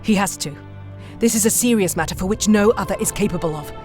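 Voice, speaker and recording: A woman's voice: mid-range voice, quick pace, over music, lightly acted. A woman playing a grave noblewoman in authority in a fan audio drama, formal and earnest. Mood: grave, resolute.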